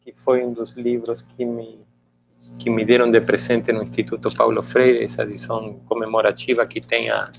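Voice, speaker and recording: A man's voice: mid-range voice, flat delivery, moderate pace, gravelly, noisy background. A middle-aged man whose native language is Spanish, talking reflectively in an interview with many hesitant restarts. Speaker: interviewee, author.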